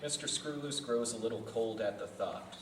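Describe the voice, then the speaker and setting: A man narrates a script at a table read, giving the stage directions in a mid-pitched voice. He sounds distant in an echoey room, with some faint noise around him. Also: moderate pace. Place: indoor festival venue.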